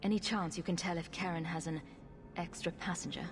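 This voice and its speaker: A woman's voice: fairly low pitch, fairly even intonation, brisk, soft background music, lightly acted. A woman playing a resolute adventurer heroine in a fantasy video game, blunt, dry and assertive. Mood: calm, firm.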